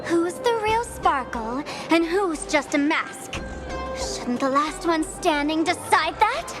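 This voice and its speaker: A woman's voice: sits high, animated, slow pace. A woman voicing a playful, taunting young female streamer villain in a video game, with a teasing, mocking and theatrical manner. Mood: scornful. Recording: game audio with music underneath.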